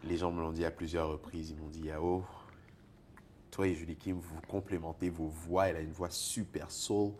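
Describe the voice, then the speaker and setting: A deep baritone man from Togo, guest in an interview, talking in an animated way about his music and laughing often. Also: moderate pace, slight background noise. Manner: animated, laughs often, repeats words when searching. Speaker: singer-songwriter and poet guest. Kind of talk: conversational interview.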